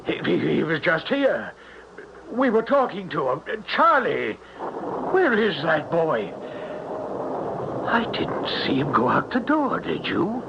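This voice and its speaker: A folksy old small-town father, fretting and rambling in a high, creaky voice that rises and falls widely. It sounds like a noisy, muffled radio drama from the 1970s. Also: huge pitch swings, slow, long pauses, heavily acted. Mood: bewildered, anxious.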